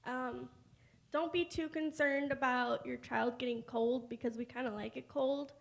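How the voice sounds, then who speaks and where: Very high-pitched twenty-two-year-old woman. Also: fairly even intonation, a little slow, creaky. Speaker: panelist at a patient support symposium. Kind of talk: casual chat.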